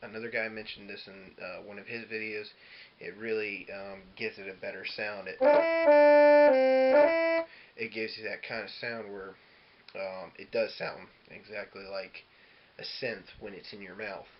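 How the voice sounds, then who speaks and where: Guy w a lowish voice doing a laid-out-loose music how-to, kinda hesitant, muffled lofi audio. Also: little pitch movement, measured pace, pauses often. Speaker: musician giving a tutorial. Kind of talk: casual instructional demo talk. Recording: dull lo-fi audio with clear hiss.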